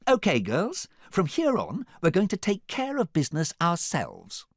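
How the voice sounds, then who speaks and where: A determined young ogre princess leading her friends in a storybook, acted out by a man. The voice is raised high, emphatic and commanding. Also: big pitch swings, slow pace, dry recording, clean studio sound, exaggerated voice.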